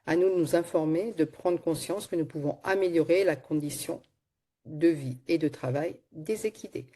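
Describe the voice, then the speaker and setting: A woman with a mellow, warm tone opens a webinar and reads a formal introduction of the speaker. Her delivery is measured and scripted, heard over a video call. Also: low-pitched.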